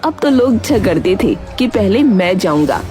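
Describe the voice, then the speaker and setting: Lively woman storyteller over music. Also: medium pitch, moderate pace. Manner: dramatic storytelling. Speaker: story narrator. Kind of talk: scripted narration of a Hindi cartoon moral story. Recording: music behind the voice.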